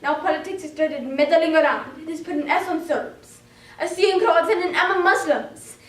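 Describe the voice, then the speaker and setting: A woman performing a dramatic monologue as a frightened, anguished young wartime girl, emotive and deliberate in delivery. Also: very high voice. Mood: bitter, indignant. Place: indoor room, recorded from a distance. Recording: roomy echo, distant microphone.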